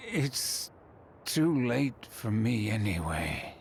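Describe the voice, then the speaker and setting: A man playing a mortally wounded middle-aged chairman in a video game, speaking weakly and haltingly, guarded, with a gravelly voice. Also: fairly low pitch, sing-song, slight background noise, full character voice. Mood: weak, resigned. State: dying, weak.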